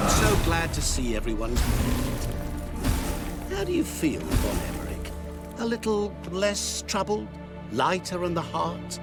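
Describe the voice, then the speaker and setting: A man playing a sly, mocking demonic soul trader in a video game, smug, theatrical and falsely polite. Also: fairly high pitch. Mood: mock-polite, taunting.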